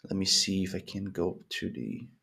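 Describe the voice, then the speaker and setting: A man's voice: deep voice, moderate pace, vocal fry, bright, forceful tone. A man in his thirties, not a native English speaker, rambling through a casual coding session with lots of fillers and restarts, at a desk in a home office. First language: Portuguese or Spanish speaker; English not first language. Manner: rambling, thinking aloud with many fillers and restarts. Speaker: coding vlogger. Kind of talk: casual live screen-recorded coding commentary.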